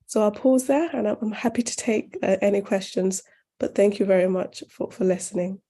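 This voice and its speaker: A woman from northern Uganda, raised in the UK, who founded a charity, speaking at a forum in a measured, earnest way with some pauses. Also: medium pitch, warm tone. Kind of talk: forum talk and answering questions.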